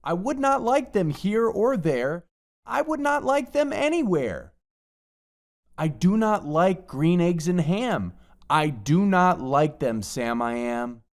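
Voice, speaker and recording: A woman's voice: on the low side, slow pace, full character voice. A woman voicing a grumpy, stubborn picky eater in a read-aloud storybook, grumbling every refusal. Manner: flat, grumbling, fed-up refusals.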